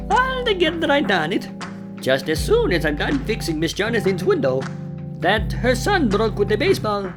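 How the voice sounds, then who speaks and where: A woman's voice: on the high side, voice pushed up. A woman voicing an upbeat, eager-to-please young handyman who rambles and gets a little flustered, in a cartoon-style audio play.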